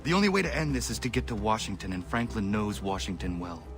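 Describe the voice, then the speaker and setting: An actor playing a grim, resolute young warrior in a colonial war video game, speaking curtly and intensely. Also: male voice, on the low side, fast, music in the background, close to an everyday voice. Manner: curt, earnest, intense. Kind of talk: dramatic video game acting.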